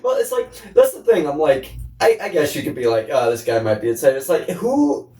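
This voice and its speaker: A man's voice: fairly high pitch, big pitch swings, fairly quick, a little mellow. A man co-hosting a podcast in casual, jokey chat, rambling along and often laughing or acting out quotes. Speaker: podcast co-host. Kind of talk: casual chat about horror films. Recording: roomy echo, distant from mic.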